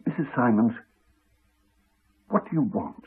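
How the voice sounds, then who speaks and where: An actor with an English accent playing a crotchety, evasive village doctor with dry, formal courtesy, in a muffled 1980s radio drama. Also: male voice, mid-range voice, very animated, slightly rough, exaggerated voice. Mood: guarded. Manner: dry, formal, measured politeness. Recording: muffled, band-limited 1980s radio recording.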